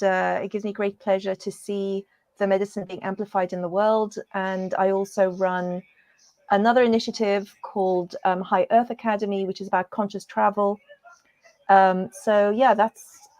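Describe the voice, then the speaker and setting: A woman from the UK introducing herself as an interview guest, talking casually in an earnest, halting manner. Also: medium pitch, no room sound. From born and raised in the UK. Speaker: guest, coach.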